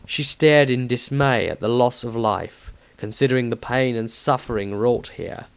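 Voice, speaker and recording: A man's voice: medium pitch, normal speed, creaky, smooth. A man reading his own fantasy story aloud as scripted narration.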